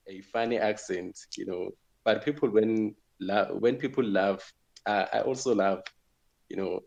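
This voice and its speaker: Low gravelly man from South Africa. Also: darkish tone. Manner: thoughtful, repeats phrases while thinking. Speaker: guest. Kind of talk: relaxed conversational interview answers.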